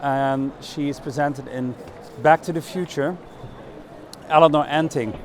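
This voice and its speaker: Higher-pitched man hosting casually. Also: fairly even intonation, measured pace. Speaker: interviewer and tour host. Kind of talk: casual walking interview. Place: art fair hall, on a walking tour. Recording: faint fair-hall background noise.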